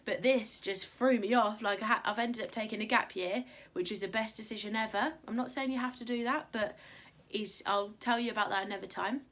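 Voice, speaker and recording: A young woman vlogging at home with a croaky morning voice, chatty and rambling with lots of fillers, giving encouraging advice. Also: medium pitch, brisk, fairly bright tone. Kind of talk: casual talk to camera.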